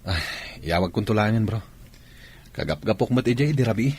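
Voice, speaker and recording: An actor with a northern Philippine accent playing an easygoing soldier in conversational radio drama dialogue, his voice husky. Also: lowish voice, animated, fairly quick, darkish tone. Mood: casual. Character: easygoing male soldier about to be deployed.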